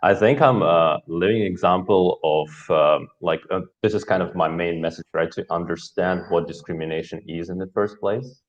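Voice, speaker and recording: A man's voice: sits low, moderate pace, few pauses. A man from Kazakhstan, a guest talking over a video call in a hesitant, philosophical way. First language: Russian. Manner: hesitant, many fillers, philosophical. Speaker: guest speaker. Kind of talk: casual talk. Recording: dull lo-fi call audio.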